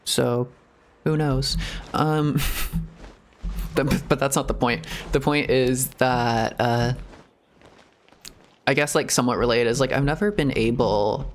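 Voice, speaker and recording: A man's voice: mid-range voice, steady tone, takes it slowly, long pauses. A man streaming a game, chatting in a casual, confessional way, hesitant and rambling, often chuckling at himself. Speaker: streamer reacting to a video game. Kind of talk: casual, confessional chat while playing.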